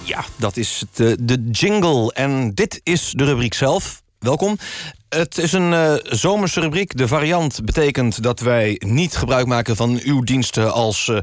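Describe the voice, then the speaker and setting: Male satirical radio host, deadpan and mock-formal, medium pitch, dry studio sound. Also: unhurried, no room sound, soft background music. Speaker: radio presenter.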